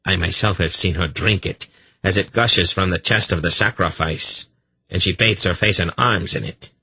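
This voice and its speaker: A man's voice: sits low, moderate pace, creaky, bright, forceful tone, husky, no room sound, full character voice, poor audio. A man voicing a bitter, conspiratorial underpriest in a fantasy audiobook, muttering with venom. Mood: venomous, conspiratorial. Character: dark, bitter, conspiratorial male underpriest of a cruel temple.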